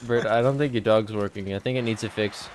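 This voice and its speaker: A man's voice: lowish voice, fairly even intonation, brisk. A young man chatting casually while playing a co-op game, joking and laughing often. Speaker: gamer streamer. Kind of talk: casual co-op gaming chat. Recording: game sound and noise behind voice.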